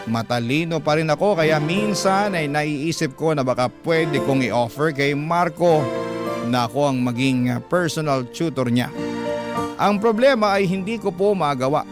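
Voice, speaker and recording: A confiding letter writer voiced by a man narrates a radio drama in the first person. The voice is slightly high and polite, confessional in manner, with music playing underneath. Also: expressive, moderate pace, lightly acted. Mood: wistful, confiding.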